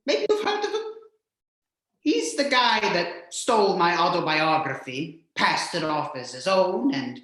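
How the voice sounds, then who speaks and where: A vain, campy vampire count played by a man hosts a horror show in a very echoey room. The delivery is slow and melodramatic, with lively swoops in pitch. The Count relishes every spooky word. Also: full character voice. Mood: indignant. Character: flamboyant, vain, grudge-holding male vampire count horror host. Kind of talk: theatrical horror host narration.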